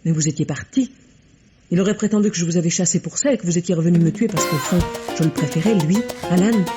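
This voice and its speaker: An actress playing a grave, distraught woman with a husky voice in a vintage French radio drama. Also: fairly low pitch, fast talker, over music, lightly acted. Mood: agitated, distraught.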